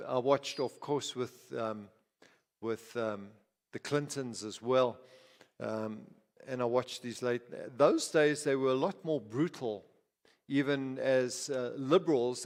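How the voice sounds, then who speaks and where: A man from Zimbabwe preaching a sermon as pastor, talking conversationally with frequent restarts, asides and repeated words. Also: medium pitch. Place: church. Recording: reverberant hall.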